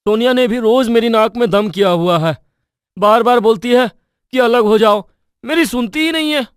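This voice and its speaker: A man's voice: very high voice, moderate pace, clean tone, no echo, studio quality. A man playing an exasperated young adult son in a cartoon story, aggrieved and reproachful. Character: exasperated adult son caught between quarrelling women. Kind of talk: Hindi cartoon story acting.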